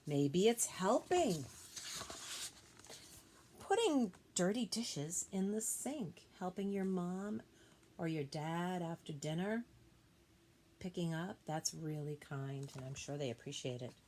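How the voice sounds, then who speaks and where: A woman teacher reading a children's picture book aloud expressively, pausing to explain things gently and simply to young listeners. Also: fairly low pitch, animated, a little hiss or hum.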